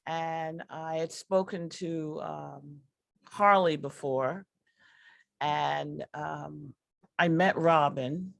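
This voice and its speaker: A woman, a workshop teacher, speaking with hesitant pauses. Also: low voice, fairly even intonation, gravelly, no echo. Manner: hesitant, pausing. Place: online video call.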